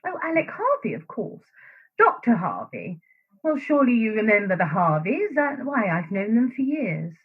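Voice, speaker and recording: A woman's voice: medium pitch, huge pitch swings, moderate pace, breathy edge. An actress playing an anguished, guilt-ridden woman in a secret love affair in a radio play, earnest and fretful. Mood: flustered, covering up. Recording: thin phone-like sound.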